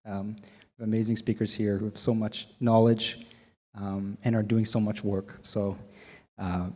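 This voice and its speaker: Canadian man with a mellow voice. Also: lowish voice, unhurried. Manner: relaxed, many ums and restarts. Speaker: panel moderator. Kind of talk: conference panel talk. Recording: long hall reverb.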